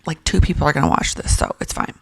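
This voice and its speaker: Raspy low-voiced woman, rambling commentary. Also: very animated, fast. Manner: rambling, frequent fillers and restarts, clears throat often. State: raspy from whispering. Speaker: commentary video creator. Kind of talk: casual commentary.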